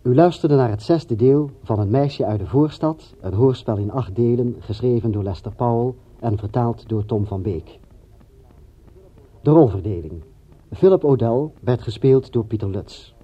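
Husky formal male radio announcer. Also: medium pitch. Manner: measured, formal list reading. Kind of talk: formal scripted closing credits. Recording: muffled lo-fi old radio recording.

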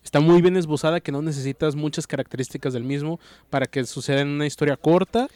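A man, his voice a touch high, talks about a book in a relaxed discussion. He gropes for words and restarts his phrases. The sound is close and dry, with no room around it. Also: no room sound. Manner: searching for words, halting restarts. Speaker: book club podcast co-host. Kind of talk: casual literary discussion.

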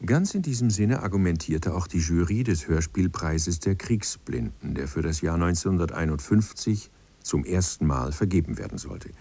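A man's voice: low-pitched, dark tone. A man reading a scripted documentary narration as its narrator.

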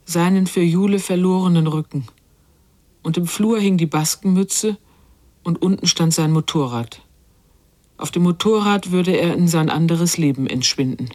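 A performer as the sombre narrator of a family story in a radio drama, measured and poetic. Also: medium pitch, darkish tone, close to an everyday voice.

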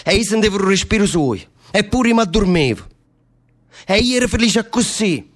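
A man's voice: high voice, lively intonation, normal speed. A man playing a lovesick, restless prisoner in a radio play, telling rambling, impassioned stories in Neapolitan dialect. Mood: anguished, agitated. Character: lovesick, restless male prisoner, volatile storyteller. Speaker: stage actor. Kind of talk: radio theatre dialogue in dialect.

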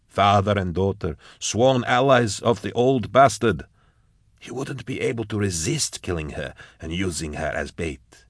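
A man voicing a bitter, grieving old agent in an audiobook, speaking in a measured, accusing way. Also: lowish voice, animated, no echo, clean studio sound. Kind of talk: audiobook character acting.

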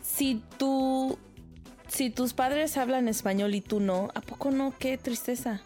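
Woman co-host from Mexico, easygoing, quick to laugh, switches between Spanish and English. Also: high voice, fairly even intonation, darkish tone, faint music behind. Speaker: podcast co-host. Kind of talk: casual chat.

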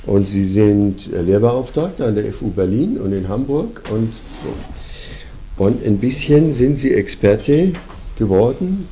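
A man hosting a conversation, a company founder who lectures reflectively and argues his points with many pauses and fillers. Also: lowish voice, expressive, mellow, lo-fi sound, a little hiss or hum. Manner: reflective, argumentative, hesitant with fillers.

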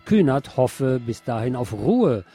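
A man's voice: mid-range voice, big pitch swings, measured pace, warm tone, slightly rough. A man presents radio news in a scripted reading style. There is music playing behind his voice.

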